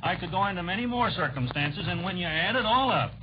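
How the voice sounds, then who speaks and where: A man's voice: high-pitched, lively intonation, quick pace. An American man arguing earnestly as a panelist in a 1940s radio political debate. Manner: earnest, argumentative. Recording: noisy, muffled 1940s radio recording.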